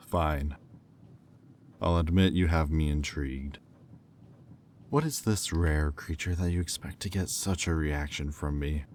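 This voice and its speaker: A man playing a haughty, sardonic demon bidder in an audio roleplay, speaking with condescension and deliberate pauses. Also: very deep voice, a little mellow, a little hiss or hum. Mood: smug, intrigued.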